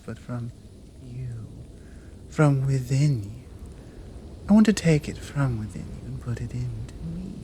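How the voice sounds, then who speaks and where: Casting: female performer for commanding, flirtatious British monster woman, ASMR roleplay. Unhurried, mellow delivery with teasing whispered drops. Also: very deep voice, a little hiss or hum, full character voice. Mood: teasing, insistent. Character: seductive, commanding horned fanged monster woman. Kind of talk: intimate ASMR roleplay acting.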